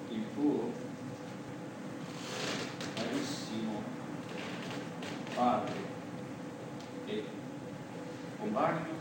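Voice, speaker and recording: A man giving a solemn, measured dramatic recitation of a letter. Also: medium pitch. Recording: echoey far-off stage recording, loud noise.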